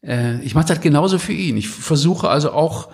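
Gravelly man in casual conversation. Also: fairly high pitch, lively intonation, normal speed, a little mellow. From Westphalia, Germany. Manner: blunt, colloquial. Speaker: podcast guest, book publisher.

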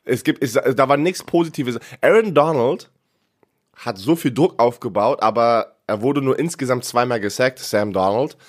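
A man's voice: medium pitch, normal speed. Congested man, stammering sports chat. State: sick, congested. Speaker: podcast co-host.

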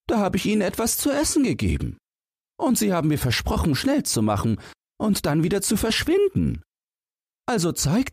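A storybook giant's wife, acted out by a man, speaks in a voice pitched well up. She is kindly but firm, a protective woman who admonishes. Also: a little mellow, breathy edge, dry recording, studio quality, heavily acted.

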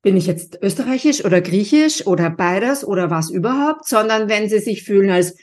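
A woman's voice: mid-range voice, animated, normal speed, a little mellow. Emphatic Austrian woman hosting a podcast. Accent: Austrian German. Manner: encouraging, emphatic, repeats "ja?". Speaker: podcast host. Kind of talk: conversational interview.

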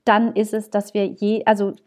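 A woman's voice: mid-range voice, flat delivery, normal speed. A woman, hosting a podcast in casual, chatty talk, often laughing at herself. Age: 30s. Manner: chatty and laughing, self-mocking. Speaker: podcast host. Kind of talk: casual chat.

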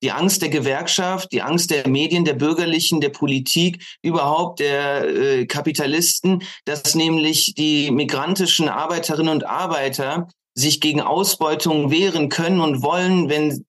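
A man with a somewhat high-pitched voice speaks as a guest in a political discussion. He picks his phrases deliberately, halting as he goes, and stresses points in enumerations. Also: fairly bright tone. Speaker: podcast guest, author.

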